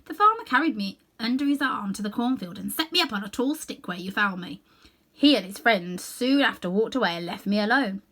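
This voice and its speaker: A woman's voice: medium pitch, expressive, fairly quick, fairly bright tone. A woman voicing a naive male scarecrow, earnestly and simply telling his story as in a children's storybook. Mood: earnest, wistful.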